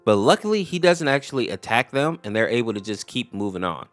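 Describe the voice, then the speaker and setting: Casting: male video narrator, average pitch, conversational storyteller explaining things casually, background music. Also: very animated, a little fast, no room sound. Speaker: YouTube host explaining animated horror lore.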